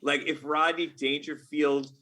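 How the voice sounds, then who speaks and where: A man's voice: fairly high pitch, level tone, unhurried, pushed and bright. A middle-aged man talking casually as a podcast guest, with a wry, self-mocking, nerdy enthusiasm. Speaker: podcast guest, game writer.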